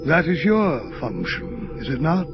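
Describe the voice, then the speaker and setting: Audio drama part: serene, cryptic old angel, very slow formal delivery, dull sound with music underneath. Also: male voice, on the high side, very animated. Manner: measured, formal, ponderous. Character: ancient wise male angel, serene, cryptic thinker.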